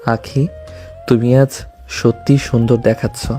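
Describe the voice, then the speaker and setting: Man acting a tender, mellow young groom. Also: mid-range voice, little pitch movement, unhurried, faint music behind, close to an everyday voice. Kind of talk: audio love story acting.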